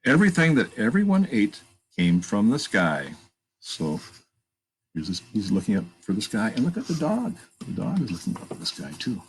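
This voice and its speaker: Storytime reader: man, gravelly voice with expressive swings, reading aloud and commenting on the pictures. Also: on the low side, noisy background. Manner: pauses to point out pictures and ask listeners questions; animated, emphatic read-aloud delivery. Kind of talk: reading a picture book aloud with chatty asides.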